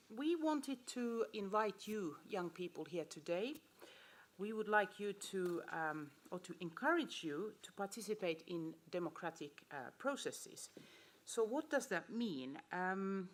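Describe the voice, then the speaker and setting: A woman hosting an event, welcoming the audience and introducing a speaker in a hesitant, informal way. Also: medium pitch. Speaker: event host, European Commission staffer.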